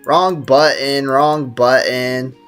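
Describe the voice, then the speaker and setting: A man's voice: mid-range voice, steady tone, slow, fairly bright tone, smooth, faint music behind. A man live streaming a game with casual, slangy commentary, joking and teasing as he chats with viewers. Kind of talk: casual gaming stream commentary, reading and answering chat.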